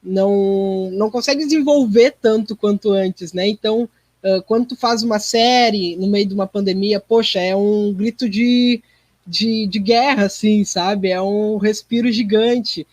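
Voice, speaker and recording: A man's voice: high voice, a little slow, a little mellow, dry recording. A man, talking informally and reflectively as an interview guest. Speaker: performing artist, interview guest. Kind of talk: casual reflective interview talk.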